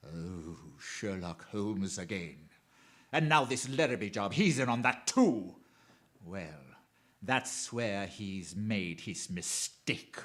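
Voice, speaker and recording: A man's voice: lowish voice, slightly rough, full character voice. An actor playing a gloating, menacing crime mastermind in a radio drama, relishing and repeating his threats. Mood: brooding menace. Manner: slow relishing menace, chuckling, repeats phrases.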